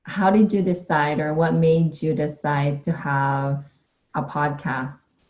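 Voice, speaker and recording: A woman's voice: deep voice, little pitch movement, takes it slowly, a little mellow. A woman hosting a podcast, interviewing a guest in a casual and supportive way, often offering short affirmations. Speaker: podcast host.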